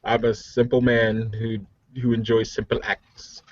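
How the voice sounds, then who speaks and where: A man's voice: fairly low pitch, monotone, a little slow, muffled recording, a little hiss or hum. A man playing a tabletop roleplaying game, teasing and swearing in casual banter.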